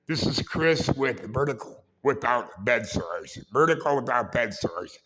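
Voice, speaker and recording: A man hosting a casual vlog with a raspy voice, talking in a halting, rambling way and correcting himself as he goes. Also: mid-range voice, big pitch swings, pushed and bright. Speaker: vlog host. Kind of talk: casual walking-tour vlog. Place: indoors in a historic building.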